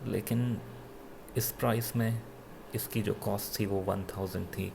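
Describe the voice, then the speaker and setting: Low, gravelly Indian man reviewing gadgets. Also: steady tone, noisy background. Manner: halting, self-correcting. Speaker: product reviewer. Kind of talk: unscripted gadget review, mixing Hindi with English.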